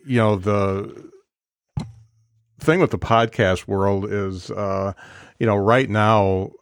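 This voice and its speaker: A man in his fifties hosts a live-streamed podcast in a somewhat low voice. The sound is dry and close, with no room echo. He talks in a halting way, full of ums and restarts. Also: steady tone, clear, resonant tone. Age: 50s. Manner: hesitant, many fillers and restarts. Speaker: podcast host. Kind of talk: casual interview chat.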